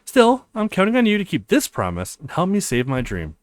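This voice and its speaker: A man's voice: high voice, wildly swooping pitch, a little fast, dry recording, voice pushed up. A man voicing a discouraged, doubtful young female dance teacher in a visual novel, skeptical and downcast. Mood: disappointed.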